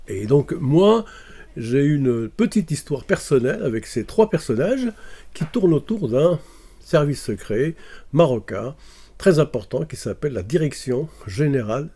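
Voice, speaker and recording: A man's voice: mid-range voice, mellow. A man from Morocco, talking casually to camera and hesitating often with fillers and restarts. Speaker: video commentator.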